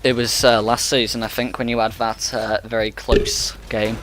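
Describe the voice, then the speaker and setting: A man giving energetic, rapid play-by-play commentary on an esports match. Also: mid-range voice.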